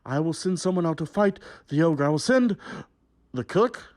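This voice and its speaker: A man's voice: fairly high pitch, animated, normal speed, a little mellow, close to an everyday voice. A man voicing a fearful, fussy young princess in a children's story, speaking theatrically. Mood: scheming.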